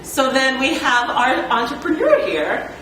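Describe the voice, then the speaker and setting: A woman's voice: sits high, sing-song, a little slow, a bit bright. A woman moderating a panel, introducing the guests and asking questions in a casual way. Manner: casual, uses "like" and "I mean". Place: event room. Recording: echoey room, picked up from far, background noise.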